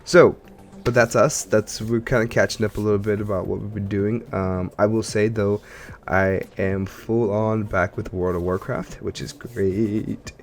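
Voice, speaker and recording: A man's voice: lowish voice, steady tone, unhurried, a little mellow. A man hosting a casual gaming podcast, chatting while congested from allergies. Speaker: podcast host.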